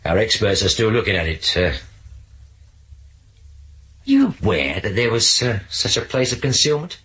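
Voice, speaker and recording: An actor playing a methodical English police inspector who questions hesitantly and deliberately in a 1970s radio drama. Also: male voice, lowish voice, huge pitch swings, a bit bright, heavily acted. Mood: probing, measured. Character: male police detective inspector, probing and methodical. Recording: dull, noisy old radio recording.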